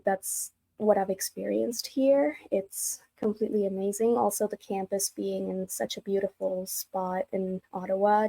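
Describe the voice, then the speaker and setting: A young woman from Mexico speaks on a video call. Her voice has a gravelly fry, and she talks casually with some hesitation. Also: medium pitch, darkish tone. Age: young adult. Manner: casual, a little hesitant with fillers. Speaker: student ambassador.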